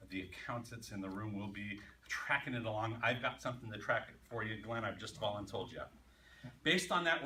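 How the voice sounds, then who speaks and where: A man hosts a club meeting, explaining things to the group in an informal way. His voice is bright and carries through a roomy space, picked up from a distance. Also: mid-range voice. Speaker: club meeting host giving instructions. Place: meeting room. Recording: roomy, distant mic, background noise.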